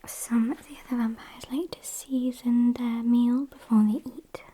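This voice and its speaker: A sassy, morbid gothic vampire played by a woman with a British accent. She speaks in a breathy, mellow whisper for an ASMR roleplay. Her delivery is soft, unhurried and deadpan, chatting casually to her captive. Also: fairly high pitch. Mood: calmly menacing.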